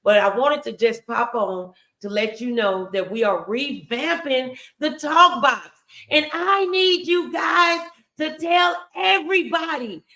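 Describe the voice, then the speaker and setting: Lady host doing casual faith motivation talk, real bright voice, emphatic, talks right to viewers. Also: high-pitched, a little slow. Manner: emphatic, encouraging, addresses viewers directly.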